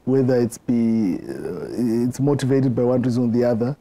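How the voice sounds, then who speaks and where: A middle-aged man with a Zimbabwean accent, answering interview questions in a reflective way, often halting and repeating words. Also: mid-range voice, expressive, warm tone. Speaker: interview guest.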